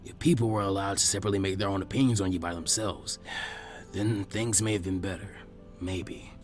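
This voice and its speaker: Wise old leader played by a male narrator: low, hushed, solemn mentor, measured phrasing, music underneath. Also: steady tone, natural voice. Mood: grave. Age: older. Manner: measured, solemn, with weighty pauses. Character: elderly village leader, wise, grave, proud mentor. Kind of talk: acted dialogue in fan fiction audiobook.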